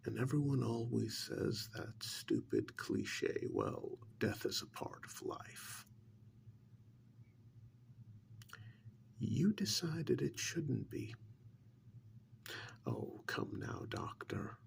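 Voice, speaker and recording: Man acting a slow, gravelly, half-whispering government agent. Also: medium pitch, huge pitch swings, exaggerated voice. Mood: needling, cold. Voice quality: soft, breathy half-whisper. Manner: measured, condescending, deliberate with long pauses. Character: calm, probing male government agent interrogating a scientist. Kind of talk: soft-spoken ASMR role-play.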